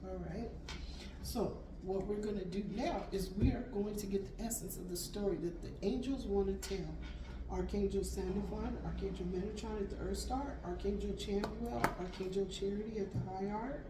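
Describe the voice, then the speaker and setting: A woman with a raspy voice reading tarot cards aloud, gentle and affirming. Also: mid-range voice, moderate pace, recorded from a distance. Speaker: tarot reader. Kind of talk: spiritual card reading. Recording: echoey room, voice from off mic, background noise.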